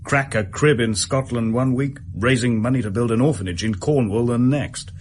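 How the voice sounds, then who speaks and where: An actor playing a dogged, plain-spoken British police detective in a radio drama, talking in a blunt, colloquial way. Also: male voice, medium pitch, normal speed, slight background noise, close to an everyday voice. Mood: admiring, frustrated.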